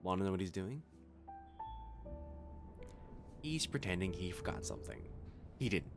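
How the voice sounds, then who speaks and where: Man as a low, creaky-voiced catboy waiter. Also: big pitch swings, fast talker, over music, full character voice. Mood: teasing, fond. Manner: gentle, soothing, lightly teasing. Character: caring, teasing male catboy cafe waiter. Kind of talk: ASMR roleplay.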